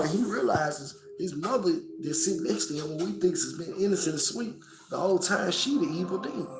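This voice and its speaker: A man in his thirties with a Memphis accent and a hoarse, coughing voice, reviewing a movie casually and profanely to his phone camera. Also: high voice, rough recording. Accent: Southern US, Memphis. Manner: profane, animated, talks straight to camera.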